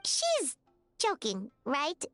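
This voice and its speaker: A tiny fairy girl from an anime game, chirpy and naive. The voice is extremely high, piercingly bright and childlike, bouncing with expressive, eager curiosity over a music bed. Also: drawn-out words, no echo, full character voice. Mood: puzzled, concerned. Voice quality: childlike, squeaky. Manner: refers to herself in the third person, eager, expressive. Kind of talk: English anime-style game voice acting.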